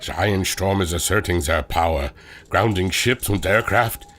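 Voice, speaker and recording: Man as deep-voiced, grave adventure mentor. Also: normal speed, fairly bright tone. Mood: grave, worried. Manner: grave, portentous. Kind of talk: radio drama acting. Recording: faint noise behind.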